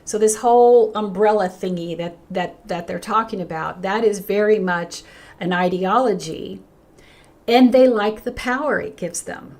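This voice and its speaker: An older woman is talking on her own livestream, her voice worn hoarse and fading. She speaks with earnest emphasis, often stopping to restart a phrase, and her pitch lifts brightly when she greets people in the chat. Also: a little slow. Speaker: pastor hosting a livestream. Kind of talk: unscripted livestream talk, reading chat comments.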